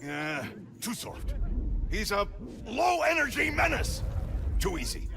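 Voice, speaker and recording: A man's voice: very high-pitched, very animated, slow, vocal fry, background noise, heavily acted. An actor playing a blustering, indignant older newsman, ranting bombastically in a video game. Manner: bombastic, theatrical. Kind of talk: video game cutscene acting. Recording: game audio under loud music.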